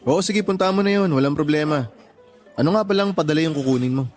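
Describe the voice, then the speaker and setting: Easygoing supportive young boyfriend played by an actor. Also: male voice, fairly high pitch, fast talker, a little mellow, soft background music, close to an everyday voice. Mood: casual, helpful. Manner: casual, concerned, questioning. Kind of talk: Filipino radio drama acting.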